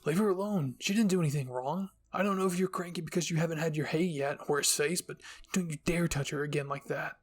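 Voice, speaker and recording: A man's voice: on the high side, a little fast, natural voice. A man voicing a fiercely protective, confrontational teenage boy in an anime story reading. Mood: angry. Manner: confrontational, insulting, forceful. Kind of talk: anime fan-fiction audio reading.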